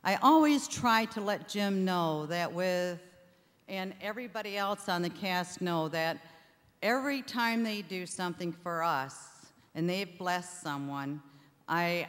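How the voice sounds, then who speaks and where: An older woman giving an informal thank-you speech from a stage at a stand microphone, with halting, deliberate phrasing. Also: fairly low pitch, animated, fairly bright tone. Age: older, 60s or above. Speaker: charity founder giving thanks. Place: theatre stage before an audience. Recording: reverberant hall sound.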